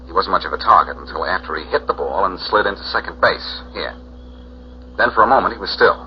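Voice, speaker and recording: A man's voice: low voice, lively intonation, fast. A male actor playing a cocky, wisecracking amateur sleuth with a glib, teasing manner in 1940s radio detective style. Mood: brisk, explaining. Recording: dull, noisy 1940s radio recording.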